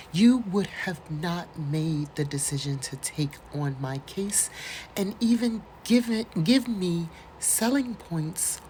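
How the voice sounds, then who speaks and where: A woman's voice: on the low side, a little hiss or hum, low-quality recording. An American woman doing a dramatic read-aloud with casual, mocking asides and exclamations, in a theatrical manner. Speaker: host doing a dramatic reading.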